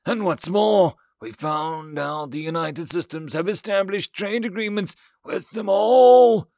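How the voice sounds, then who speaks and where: A man's voice: sits high, measured pace, creaky, darkish tone, no echo, clean studio sound, voice pushed up. A man voicing an indignant male insectoid alien chancellor in a story, emphatic and demanding. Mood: furious.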